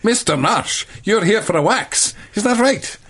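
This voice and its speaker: A man's voice: high-pitched, animated, unhurried, a bit bright, breathy edge, pitched above the performer's own voice, exaggerated voice. A man voicing a businesslike Scottish woman beautician in a comic storybook.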